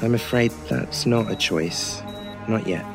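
An actor playing a polite, wordy, gently joking male hospital nurse in an old-time radio style horror drama, with a low voice. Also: measured pace, over music. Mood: calm, reassuring.

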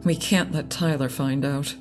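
An actress playing a worried, grimly frank mother in a horror audio drama, somber and measured. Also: deep voice, fairly even intonation, music in the background.

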